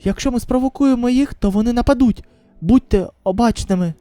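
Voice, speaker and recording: Woman as a cautious, halting survivor. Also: on the high side, dark tone, dry recording, lightly acted. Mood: tense, careful. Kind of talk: manga dub acting.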